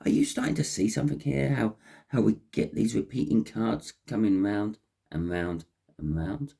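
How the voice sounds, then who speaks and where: A man with a croaky voice and a head cold, giving an unscripted tarot reading in a halting, repetitive way. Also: on the low side, dark tone. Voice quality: croaky, husky. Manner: halting, repeating phrases as he explains. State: has a cold with a runny nose. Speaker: tarot reader and host.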